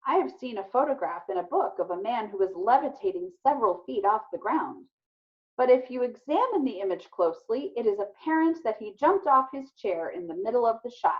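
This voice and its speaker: A woman voicing a formal, precise, deadpan boy in a children's storybook read-aloud. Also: a little high, pitched above the performer's own voice, lightly acted. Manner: formal, measured wording, deadpan. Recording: muffled, lo-fi recording.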